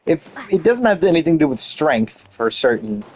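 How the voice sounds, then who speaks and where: A man's voice: fairly high pitch, sing-song, moderate pace, darkish tone, breathy edge. A man giving casual video game commentary, rambling and thinking aloud as he plays. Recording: faint game music behind.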